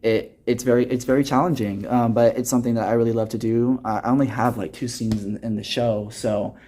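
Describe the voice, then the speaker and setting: A man's voice: mid-range voice, steady tone, brisk, mellow, a little hiss or hum. A teenage young man, a student actor, answering interview questions earnestly and reflectively in a conversational way. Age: teens, high school senior.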